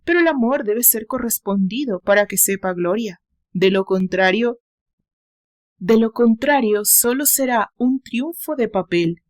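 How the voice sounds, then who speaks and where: A woman voicing a loyal male bodyguard in an audio story, speaking in a formal, measured, deferential way. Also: a little high, lively intonation, darkish tone, dry recording, studio quality. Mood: earnest. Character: loyal, polite male bodyguard, calm and discreet.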